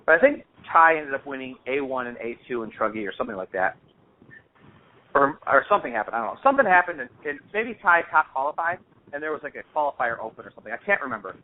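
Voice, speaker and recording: A man's voice: medium pitch, very animated, quick pace. A man telling stories casually on a phone call in an informal, slangy, rambling way, as host of a hobby show. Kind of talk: casual phone chat, anecdotal storytelling. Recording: dull lo-fi phone-call audio.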